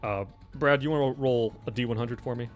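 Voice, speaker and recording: A man's voice: mid-range voice, brisk, faint music behind. A man working as game master, casually narrating a tabletop horror game and calling for rolls.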